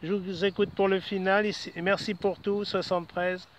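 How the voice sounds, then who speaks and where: A man's voice: high voice, flat delivery, normal speed, highs cut off, a little hiss or hum. A man with a French accent from France, chatting casually as an amateur radio operator outdoors. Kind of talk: casual ham radio contact. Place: outdoors in a park.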